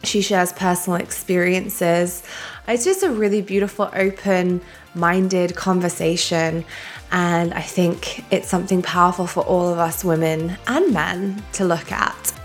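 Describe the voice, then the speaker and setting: A woman's voice: fairly low pitch, fairly even intonation, unhurried, soft background music. A British woman hosting a podcast in an effusive, gushing manner, a little sniffly from a cold. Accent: British English. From England. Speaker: podcast host. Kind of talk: casual interview chat.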